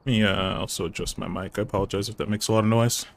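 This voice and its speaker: A man's voice: lowish voice, little pitch movement, racing, vocal fry. A man with a nasal voice streaming live, chatting casually and rambling to himself and his chat while he sets up.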